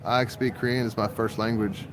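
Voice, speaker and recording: A man's voice: on the low side, level tone, normal speed. A man in his forties talking in a rambling monologue that jumps from topic to topic, with frequent swearing. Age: 40s. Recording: outdoor traffic noise.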